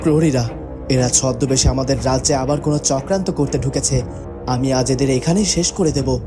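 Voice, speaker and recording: A man's voice: mid-range voice, brisk, close to an everyday voice. A man playing a stern, vengeful young prince in a fairytale cartoon, commanding and accusing in a dramatic way. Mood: angry command. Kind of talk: melodramatic fairytale cartoon acting. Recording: music under the voice.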